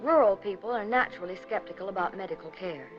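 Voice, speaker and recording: A woman delivering scripted 1950s documentary narration in an earnest, measured, rhetorical manner. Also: medium pitch. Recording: dull 1950s film soundtrack, music behind. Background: background music.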